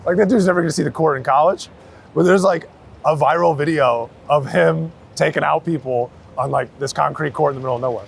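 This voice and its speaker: A man's voice: on the high side, a little fast, vocal fry. A man talking casually in slangy, anecdotal style, as a street photographer being interviewed outdoors in the city. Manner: slangy, anecdotal, hedging with "like" and "you know". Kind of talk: casual chat. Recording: city street noise, traffic around.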